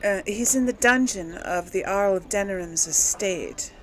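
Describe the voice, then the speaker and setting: A woman giving casual let's play commentary to her audience, rambling and thinking out loud. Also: medium pitch, a little slow, a little hiss or hum, low-quality recording. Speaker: host of a gaming role-play series.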